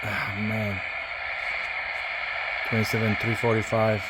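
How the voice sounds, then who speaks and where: A man giving a casual hands-on tutorial, speaking haltingly as he thinks aloud while working. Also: lowish voice, flat delivery, very noisy. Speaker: CB radio hobbyist demonstrating an installation.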